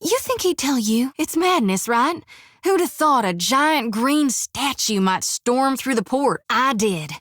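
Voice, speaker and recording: An actress voicing a folksy, earnest young priestess of a death god in a video game, with a drawl, rambling and plainspoken. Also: female voice, high-pitched, lively intonation, unhurried, fairly bright tone, dry recording, studio quality, exaggerated voice.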